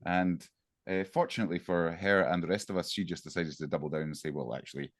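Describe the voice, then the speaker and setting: A Scottish man hosting an interview, friendly and encouraging in a relaxed chat. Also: low voice, fast, no echo. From Scotland. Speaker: podcast host, interviewer.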